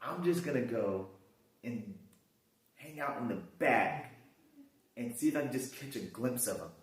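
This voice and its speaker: An actor playing Zacchaeus, an excitable tax collector who talks to himself in amazement. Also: male voice, medium pitch, measured pace, lightly acted. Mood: wondering. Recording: roomy echo from a distance.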